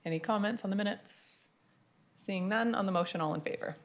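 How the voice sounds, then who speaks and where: A woman mayor chairing a city council meeting, running through motions in a brisk, formulaic way. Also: mid-range voice. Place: council chamber.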